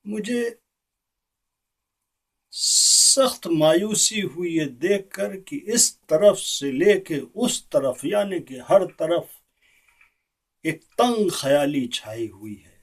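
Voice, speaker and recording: A man performs an earnest, disillusioned Indian statesman in a theatrical monologue, heard a little way from the microphone. His voice sits slightly high for a man. He speaks as an orator to an assembly, very slowly and deliberately, leaving weighty pauses between phrases. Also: expressive, full character voice. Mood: indignant. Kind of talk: theatrical political speech monologue. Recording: distant microphone.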